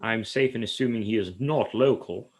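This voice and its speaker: Man playing a grave, low-voiced haunted doctor. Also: normal speed, lightly acted. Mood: guarded, formal. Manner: solemn, formal, ominous. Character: grave, haunted male psychiatrist who fears the supernatural. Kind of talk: radio play acting.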